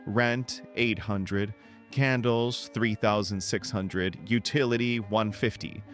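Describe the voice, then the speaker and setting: A man reading out a household budget in a deadpan way. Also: on the low side, slow pace, faint music behind.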